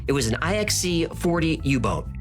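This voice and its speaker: A man's voice: on the high side, big pitch swings, fairly quick. Man narrating dramatically over music. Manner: dramatic, punchy storytelling with rhetorical questions. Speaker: documentary narrator. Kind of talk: scripted documentary narration.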